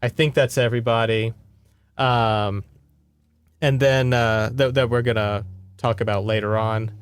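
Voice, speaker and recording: A man's voice: mid-range voice, steady tone, a little slow, clean tone. A man co-hosting a podcast, retelling a book in a casual, informal, chatty way. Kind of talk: casual conversational book recap.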